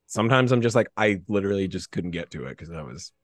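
A man's voice: low-pitched, animated, fast talker, no echo, clean studio sound. A man chatting casually as a podcast guest, rambling and self-deprecating, with lots of "like" in his talk. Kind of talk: casual chat, anecdotes.

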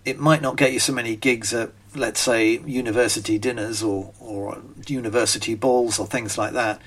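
A man's voice: mid-range voice, breathy edge. Older British man, rambling podcast chat. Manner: rambling, chatty, frequent ums and restarts. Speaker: podcast host, magician. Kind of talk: casual spoken monologue.

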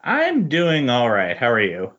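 A man's voice: mid-range voice, wildly swooping pitch, measured pace, fairly bright tone, lo-fi sound. A man chatting casually as a guest co-host on a podcast. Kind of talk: casual chat.

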